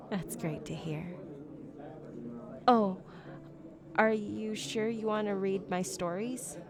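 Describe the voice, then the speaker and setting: A woman's voice: mid-range voice, very slow, pauses often, crowd noise. A woman playing a shy, geeky young silver fox on a blind date, speaking politely and hesitantly in an ASMR roleplay. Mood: bashful. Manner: awkward, flustered, hesitant, politely formal. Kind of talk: ASMR roleplay, one-sided conversational acting.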